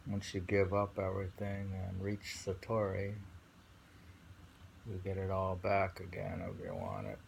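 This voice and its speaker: A man with a deep, creaky voice reads poetry and reflects on it informally. He takes his time, pausing to muse as he goes. The amateur recording carries faint noise. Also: a little mellow, rough recording. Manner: contemplative, pausing to muse between phrases. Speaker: poetry reader and commentator. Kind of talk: slow poetry reading with informal reflective commentary.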